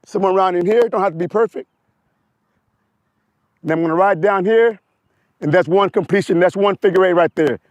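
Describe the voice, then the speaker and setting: Hoarse high-voiced riding coach. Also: male voice, fairly quick, pauses often, no room sound. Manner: step-by-step coaching, repeats cues. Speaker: motorcycle riding instructor. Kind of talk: outdoor riding demonstration. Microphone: wireless mic.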